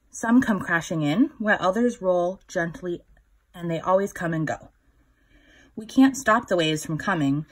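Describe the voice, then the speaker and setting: A woman reading a picture book aloud to young children in a gentle, instructive way, with a slightly husky voice. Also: lowish voice. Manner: gentle, instructive, pausing to put questions to the child listener. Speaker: children's story reader.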